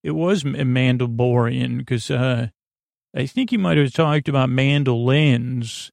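A man hosting a sleep podcast, rambling in a drowsy, meandering way and gently reassuring his listeners. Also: mid-range voice, unhurried, vocal fry, dry recording. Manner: meandering, drowsy, self-interrupting.

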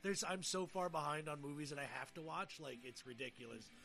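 A woman's voice: low voice, expressive, fairly quick, vocal fry, a bit bright. A woman hosting a casual podcast chat, talking informally and emphatically with plenty of swearing. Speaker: podcast host.

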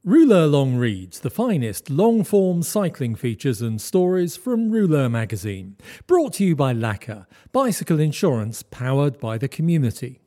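A man's voice: on the high side, sing-song, moderate pace, dark tone. A man reading a scripted podcast introduction as its announcer.

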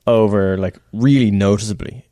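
Male co-host, very deep voice, easygoing and agreeable, dry close recording. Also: fairly even intonation, moderate pace, dark tone, dry recording. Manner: agreeable, chatty, frequent backchannel. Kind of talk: casual chat.

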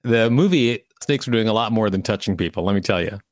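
A man's voice: fairly low pitch, animated, fast talker. A man hosting a podcast, reading an encyclopedia article aloud and adding casual commentary. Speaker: podcast host.

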